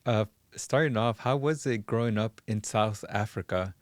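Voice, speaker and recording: A man's voice: lowish voice, normal speed, no room sound. A man hosting an interview podcast, presenting his guest and posing questions. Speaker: podcast host. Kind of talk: scripted introduction and interview questions.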